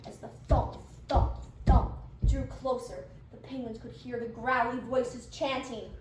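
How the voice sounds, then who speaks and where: A woman's voice: on the high side, fairly even intonation, a little slow. A woman narrating a scripted children's storybook as part of a readers' theater. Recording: echoey room, distant, faint noise.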